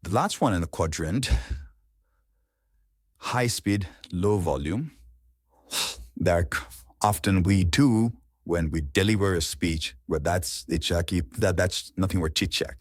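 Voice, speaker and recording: A man's voice: sits low, a little slow, pauses often, breathy edge, no echo, clean studio sound. A man speaking as a coach, giving an informal, explanatory lesson on public speaking.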